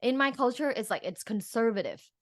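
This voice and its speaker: A woman's voice: fairly high pitch, lively intonation, brisk, no room sound. A woman from Thailand speaking as an expert guest in a casual interview, informal and peppering her speech with "like". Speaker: guest, professor and sexologist.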